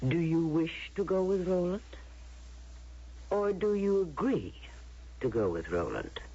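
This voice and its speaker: An actor playing a menacing, falsely courteous judge in a radio drama, speaking formally and deliberately. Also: male voice, a little high, animated, background noise. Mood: courteous menace. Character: smooth-mannered, menacing judge of a utopian society. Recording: muffled old radio broadcast recording.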